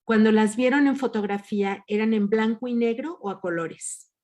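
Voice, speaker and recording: A woman's voice: a little high, moderate pace, darkish tone. A woman leading an online workshop, patiently asking her group questions over a video call. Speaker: workshop leader.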